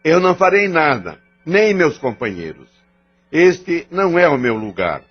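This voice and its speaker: Casting: male actor for arrogant, sly spirit chief in an audio drama, raspy edge, muffled sound with music behind. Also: fairly high pitch, sing-song, unhurried. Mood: defiant. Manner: defiant, boastful, grudging. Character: proud, furious, cunning malevolent male spirit, gang chief. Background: background music.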